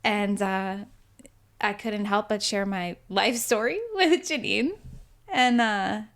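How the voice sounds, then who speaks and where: A woman in her mid-thirties co-hosting a podcast, telling stories in an upbeat way and laughing often. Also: mid-range voice. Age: 30s. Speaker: podcast co-host. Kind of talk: casual storytelling.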